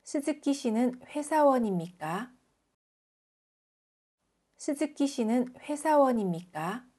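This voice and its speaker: A female language teacher reads scripted example sentences in a fairly high, mellow voice. She enunciates each one carefully and takes her time. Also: animated, slow, slightly rough. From South Korea.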